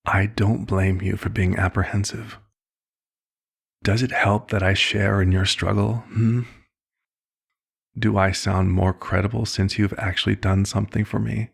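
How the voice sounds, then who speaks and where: Casting: male actor as aged vampire physician for ASMR roleplay; kindly, formal, fatherly, heavy vocal fry, even intonation. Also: on the low side, full character voice. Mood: earnest, soothing. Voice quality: husky, gravelly. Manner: measured, slightly formal, old-fashioned phrasing. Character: old, compassionate male vampire doctor, gently paternal and measured.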